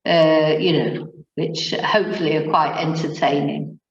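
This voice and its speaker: A woman's voice: sits low, fairly even intonation, a little slow, dull audio. Chatty Mancunian woman, roomy line. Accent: Mancunian English. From England. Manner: rambling, with fillers and chuckles. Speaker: language teacher, guest. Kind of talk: casual interview storytelling.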